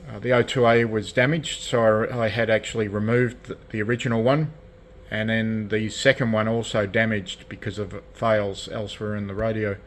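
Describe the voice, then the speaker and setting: An Australian man giving a casual hands-on show-and-tell as a hobbyist restorer, speaking in halting, piecemeal phrases. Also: on the low side, little pitch movement. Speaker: radio restorer presenting own collection. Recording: clear background noise, hobby video.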